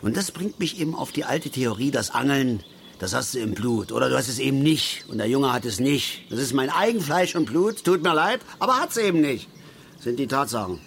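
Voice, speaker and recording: An actor playing a grumbling, opinionated middle-aged angler in a radio drama, with a folksy, lecturing manner. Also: male voice, medium pitch, expressive, brisk, vocal fry, fairly bright tone, a little hiss or hum. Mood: defensive, insistent. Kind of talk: naturalistic German radio drama acting.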